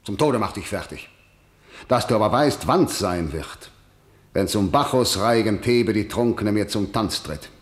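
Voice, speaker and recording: A man's voice: on the low side, animated, unhurried. An actor playing a harsh, imperious king in a verse radio drama, declaiming with scorn. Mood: dismissive command. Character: harsh, scornful male tyrant king condemning his rebellious niece. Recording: echoey, reverberant room.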